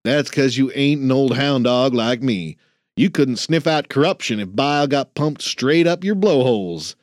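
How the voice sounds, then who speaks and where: A man's voice: medium pitch, expressive, moderate pace, dry recording, studio quality, voice pushed up, lightly acted. A man voicing a grumpy old male janitor who talks bluntly in folksy slang. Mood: gruff.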